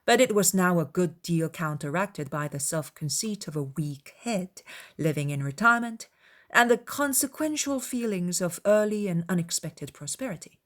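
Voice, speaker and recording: Low-pitched woman narrating a novel. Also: lively intonation, moderate pace. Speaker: audiobook narrator. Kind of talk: dramatized literary narration.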